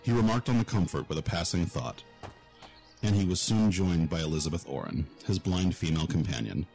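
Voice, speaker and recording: A man's voice: deep voice, lively intonation, brisk, a little mellow, soft background music. A man giving scripted narration for a fantasy audio drama.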